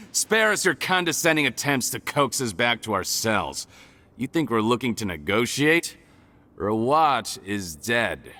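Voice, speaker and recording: Sneering villain with swooping delivery. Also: male voice, medium pitch, big pitch swings, unhurried, pushed and bright, heavily acted. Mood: defiant, scornful. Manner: sneering, gloating, theatrical. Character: scornful, ruthless male escaped-convict villain.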